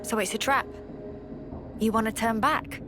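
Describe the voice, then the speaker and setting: A woman's voice: fairly high pitch, measured pace, music in the background, natural voice. A woman voicing a cocky, feisty young female fighter in a game, brash and slangy. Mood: challenging. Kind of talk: video game cutscene acting.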